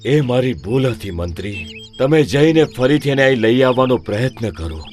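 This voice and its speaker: A man voicing a commanding, stately animal king in a children's animated story. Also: medium pitch, normal speed, soft background music, lightly acted. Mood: regretful, commanding. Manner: stately, authoritative. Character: commanding male animal king, lion or monkey ruler.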